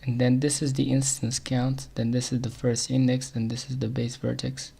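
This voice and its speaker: A man with an Arabic accent and a gravelly, fry-laden voice talks himself through a stubborn bug on a live coding stream. He mutters half-finished thoughts and reads error messages aloud, breaking into short chuckles. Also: mid-range voice, level tone, mellow. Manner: muttering to himself while debugging, quick chuckles.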